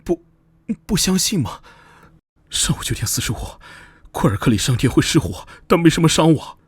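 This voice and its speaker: A man playing a frightened, earnest young porter who pleads desperately in an animated comic dub. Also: on the high side, moderate pace, breathy edge, dry recording, clean studio sound. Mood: anxious.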